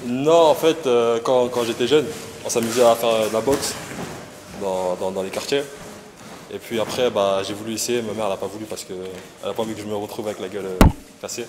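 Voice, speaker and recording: Young male fighter from Paris, casual, halting, low voice, hissy gym. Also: steady tone, big-room sound. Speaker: kickboxer. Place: martial arts gym.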